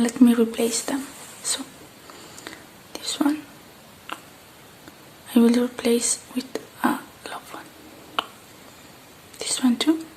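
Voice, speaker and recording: A woman speaking softly and gently in a breathy, partly whispered ASMR roleplay, murmuring to the listener. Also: on the high side, a little hiss or hum, low-quality recording. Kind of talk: soft-spoken ASMR roleplay as a technician tending a robot.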